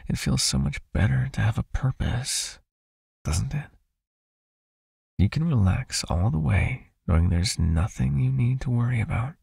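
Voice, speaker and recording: A man voicing a possessive, soothing vampire hypnotist in an ASMR roleplay, with a hushed, coaxing manner and a raspy, creaky, breathy voice. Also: mid-range voice, sing-song, a little slow, no room sound, studio quality, heavily acted. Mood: soothing, commanding. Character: possessive soothing male vampire hypnotist, controlling yet caring.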